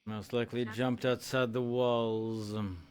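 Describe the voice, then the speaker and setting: Male roleplayer, smooth mid-range voice, measured in-character fantasy delivery. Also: medium pitch, level tone, darkish tone. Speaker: roleplayer playing a guildmaster in a fantasy town. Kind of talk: improvised in-character roleplay in VRChat.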